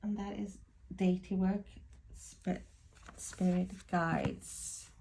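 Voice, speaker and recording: A woman from Ireland with a Swedish-tinged accent, chatting casually in a slow, thoughtful, meandering way as she reviews tarot and oracle decks at home. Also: on the low side, animated, gravelly, background noise. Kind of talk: casual deck review chat.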